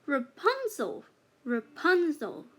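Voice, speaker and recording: A woman's voice: high voice, wildly swooping pitch, takes it slowly, fairly bright tone, heavily acted. A woman voicing an earnest, gallant young prince in a children's fairytale. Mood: calling out.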